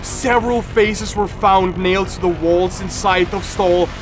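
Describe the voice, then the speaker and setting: High-pitched man doing dramatic reaction commentary. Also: measured pace, music in the background. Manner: repeats phrases over and over, dramatic. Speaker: streamer reacting to horror videos. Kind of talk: live reaction commentary, reading on-screen text aloud.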